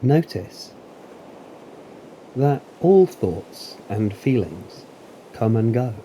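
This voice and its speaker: A man narrating a guided meditation in a calm, deliberate, soothing manner with long pauses. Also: medium pitch, a little hiss or hum, poor audio. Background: waves.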